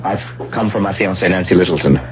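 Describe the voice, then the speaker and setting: A man's voice: low-pitched, fast talker, natural voice. An actor playing an earnest, hot-tempered young man, insistent and pleading as he searches, in an old-time radio drama. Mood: defiant. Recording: muffled, noisy vintage radio broadcast.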